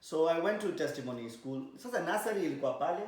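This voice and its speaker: A man's voice: fairly high pitch, expressive, rapid-fire, a little mellow. A man in his thirties from Kenya with a Kenyan accent, a DJ co-hosting a casual podcast, talking in a hesitant, easygoing way. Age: 30s. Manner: hesitant, many fillers, easygoing. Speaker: podcast co-host, DJ. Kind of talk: casual chat mixing in Swahili. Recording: very echoey room.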